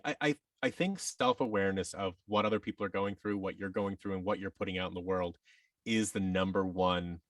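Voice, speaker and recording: A man's voice: sits low, fairly bright tone, no echo, clean studio sound, distant mic. A man giving advice as a podcast guest in a casual, rambling, emphatic way, often voicing imagined quotes as he explains.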